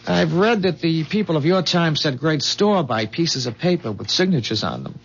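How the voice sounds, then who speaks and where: An actor playing a calm, earnest man from a dying future, explaining gravely in measured 1970s radio drama style. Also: on the high side, slight background noise. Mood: calm, assured. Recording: dull, muffled 1970s radio drama recording.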